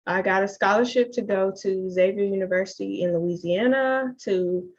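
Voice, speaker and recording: A woman's voice: medium pitch, moderate pace. A woman from Mississippi, the school's owner hosting a live online open house in a chatty, upbeat way with lots of "um" and "like.". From Jackson, Mississippi, USA. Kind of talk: casual live presentation. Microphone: video call. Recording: slightly dull lo-fi call audio.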